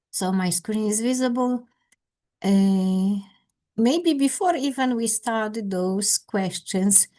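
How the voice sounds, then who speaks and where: A woman with a Polish accent, leading an online English conversation class as its teacher, with careful, halting non-native phrasing. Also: mid-range voice, mellow. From Poland. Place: indoors on a video call.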